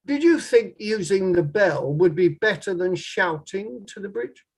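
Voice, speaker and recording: An actor playing a formal inquiry lawyer in a courtroom drama table read, putting measured, pressing questions to a witness. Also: mid-range voice, animated, a little mellow, slightly rough. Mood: formal, probing.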